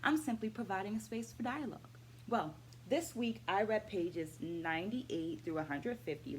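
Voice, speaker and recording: A middle-aged woman talking through a book for her viewers in a measured, deliberate, instructive way. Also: lowish voice, slight background noise, poor audio. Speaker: book-review vlog host, dance educator. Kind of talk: educational book discussion to camera.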